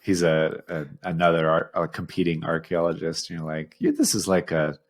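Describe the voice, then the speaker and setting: A man's voice: low-pitched, very animated, normal speed. A man co-hosting a podcast, discussing films casually in an informal, explanatory way. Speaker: podcast co-host.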